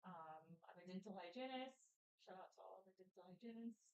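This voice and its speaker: A woman's voice: medium pitch, animated, fairly quick, darkish tone, reverberant, poor audio. A woman in her late thirties, a guest on a panel chat show, talking in a chatty, upbeat way with plenty of fillers. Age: 30s. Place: studio.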